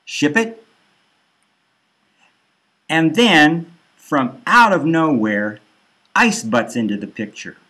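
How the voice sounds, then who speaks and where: A man reading his own dark satirical novel as a first-person audiobook narration. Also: a little high, very animated, slow pace.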